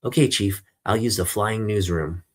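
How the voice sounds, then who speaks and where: A man's voice: fairly low pitch, normal speed, lightly acted. A man voicing an eager, daring young cub reporter with boyish, exclamatory wonder as he reads a comic book aloud.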